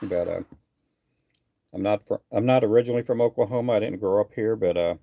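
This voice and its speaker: A middle-aged man from Texas talks through viewer comments in a loose, unhurried ramble, stopping often on little fillers. His voice sits fairly low, with a gravelly edge and a dark, mellow tone. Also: dry recording, studio quality. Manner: easygoing, rambling with many uh fillers. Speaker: fishing livestream host. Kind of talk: casual live chat reading viewer comments.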